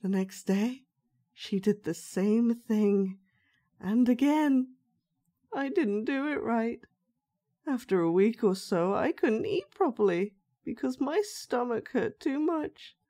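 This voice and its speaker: A woman playing a sinister, soft-spoken dollmaker with magic powers in a roleplay monologue, coaxing and condescendingly gentle as she addresses the listener. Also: on the high side, expressive, takes it slowly. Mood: wistful, eerie.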